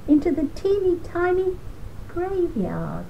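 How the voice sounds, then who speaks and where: An older woman reading a children's storybook aloud in a deliberate, gently emphatic way. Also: very high voice, sing-song, creaky, dull audio, slight background noise.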